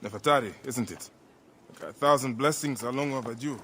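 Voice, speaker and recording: An actor playing a grave, vengeful, grieving Egyptian warrior in a dramatic video game. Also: male voice, mid-range voice, brisk, background noise, close to an everyday voice. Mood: guarded.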